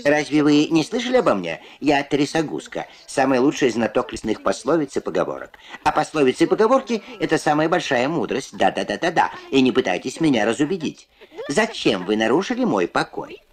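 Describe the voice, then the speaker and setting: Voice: medium pitch, big pitch swings, a little fast, voice pushed up, lightly acted. A performer voicing a fussy, pompous female wagtail bird who preaches proverbs in a children's cartoon. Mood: grumpy, boastful. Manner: pompous, sententious. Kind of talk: children's puppet cartoon dub. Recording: old film soundtrack with music.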